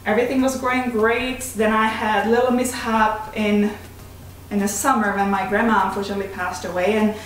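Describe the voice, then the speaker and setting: A woman's voice: mid-range voice, little pitch movement, brisk. Czech-accented woman in a very echoey kitchen. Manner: candid, slightly broken grammar. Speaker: vlog host. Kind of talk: casual chat. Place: home kitchen, indoors. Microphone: desk mic on tripod, camera at a distance. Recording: very echoey kitchen, distant mic, faint hiss.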